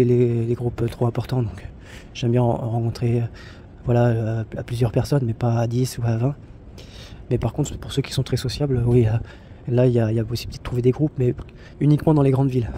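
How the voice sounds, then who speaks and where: A man's voice: medium pitch, dark tone, slight background noise. A man talking casually about solo travel, rambling with many fillers, restarts and blunt slang. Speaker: travel vlogger. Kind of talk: casual spoken monologue.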